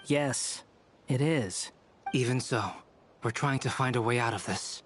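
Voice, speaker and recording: A man's voice: mid-range voice, unhurried, a little hiss or hum, lightly acted. An actor playing a cool-headed young male scholar in a game drama, speaking tersely and matter-of-factly. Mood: blunt, grim. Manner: matter-of-fact, dry, terse. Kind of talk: dramatic video game voice acting.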